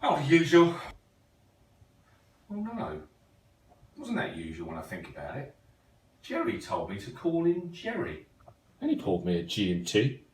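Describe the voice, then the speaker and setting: A man's voice: medium pitch, huge pitch swings, unhurried, long pauses, roomy sound. A man with an English accent playing an easygoing, cheeky working husband in an amateur play, wry and chatty. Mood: wry, reflective. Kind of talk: amateur stage play acting, recorded at home.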